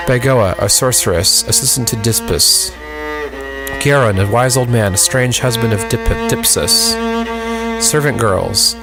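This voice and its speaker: A man reading out a play's cast list and stage directions in a plain, unrehearsed cold-read style. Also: medium pitch.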